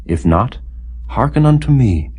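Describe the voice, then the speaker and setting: Male scripture narrator: dark, raspy timbre, solemn and declamatory audiobook reading. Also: mid-range voice, sing-song, a little slow. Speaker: audiobook Bible reader.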